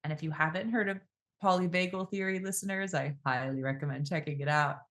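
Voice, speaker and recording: A woman speaking as a podcast guest and speaking coach, calm and reflective, slowing down and shifting her voice to demonstrate. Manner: calm, varies pace and pitch to demonstrate voice.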